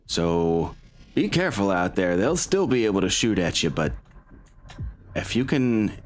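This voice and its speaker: A man's voice: lowish voice, very animated, a little slow. A man voicing a supportive, earnest military lieutenant in a tabletop game, speaking in a hesitant, encouraging way. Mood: earnest, cautioning. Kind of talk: tabletop roleplay dialogue.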